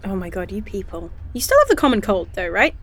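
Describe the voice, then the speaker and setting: A woman acting naturalistically in an audio drama as a caring, no-nonsense scientist and medic, with a dry, brisk, informal manner. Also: medium pitch, huge pitch swings, a bit bright. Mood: wry, caring.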